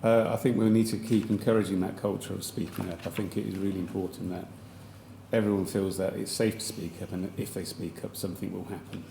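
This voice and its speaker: A man with a slightly croaky voice, giving hesitant formal testimony as a chief executive at a public hearing, with many fillers and restarts. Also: low voice, flat delivery, fairly quick, warm tone, a little hiss or hum. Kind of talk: formal testimony under questioning. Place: large public hearing hall. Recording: echoey hall with faint ambience.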